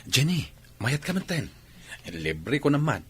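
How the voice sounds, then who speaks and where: A man's voice: mid-range voice, sing-song, measured pace, slight background noise. A man playing an eager, chummy household helper in a radio drama, coaxing and pushy.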